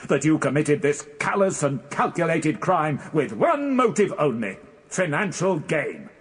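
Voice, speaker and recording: A man's voice: a little high, very animated, unhurried, husky, heavily acted. A man playing a relentless, scornful British prosecuting barrister in a radio courtroom drama, accusing and formal in manner.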